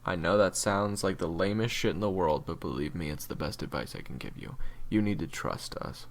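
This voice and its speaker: A man playing a jaded, blunt monster hunter in an audio roleplay, speaking frankly and earnestly to a rookie, with profanity and halting delivery. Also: deep voice. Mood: earnest, weary. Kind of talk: dramatic audio roleplay monologue.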